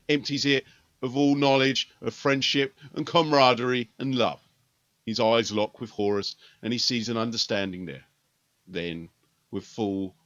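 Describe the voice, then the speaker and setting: Bright-voiced man narrating dramatically. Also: dry recording, highs cut off. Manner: measured, with sharp swings in pitch and loudness. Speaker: audiobook narrator.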